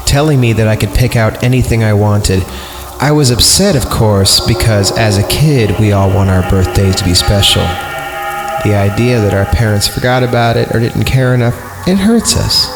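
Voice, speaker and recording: A man reading a story aloud as a podcast host, irreverent and crude, breaking off into sarcastic comic asides. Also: on the low side. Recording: roomy echo with music behind. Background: music.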